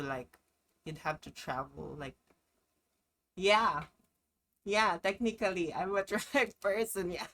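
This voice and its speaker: A woman's voice: lowish voice, bright, forceful tone. A young woman from the Philippines, chatting casually on a live stream, English not her first language, rambling with many ums and little laughs. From Philippines, Benguet region.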